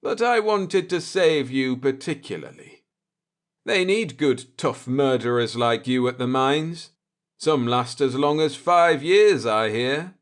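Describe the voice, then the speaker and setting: A man's voice: medium pitch, slow, natural voice. Man reading as a sardonic, gloating space navigator. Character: coolly vindictive male spaceship navigator, explaining and gloating.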